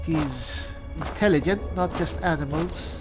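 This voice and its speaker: A man's voice: a little high, unhurried. A man doing in-character gameplay commentary, musing to himself in short remarks. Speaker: gamer narrating a roleplay playthrough. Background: music behind the voice.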